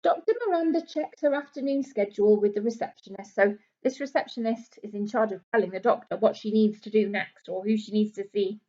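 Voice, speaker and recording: A woman's voice: medium pitch, brisk, hardly any pauses, warm tone, lo-fi sound. A British woman reading a children's book aloud like a primary teacher, explaining things simply for young listeners. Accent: British English.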